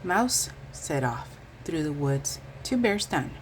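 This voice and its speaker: Very low-voiced woman reading a bedtime story. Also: very animated, slow pace, a little hiss or hum. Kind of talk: expressive children's storybook reading.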